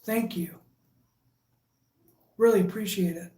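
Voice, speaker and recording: Woman with a Michigan accent, echoey room. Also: mid-range voice. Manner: relaxed and halting, with frequent fillers and restarts. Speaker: live stream host. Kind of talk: casual live chat, reading viewer comments.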